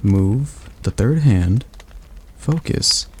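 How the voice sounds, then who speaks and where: A man voicing a patient male demon tutor who teaches magic in a calm, gently commanding ASMR roleplay. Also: fairly low pitch, very slow, husky, full character voice. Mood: coaxing.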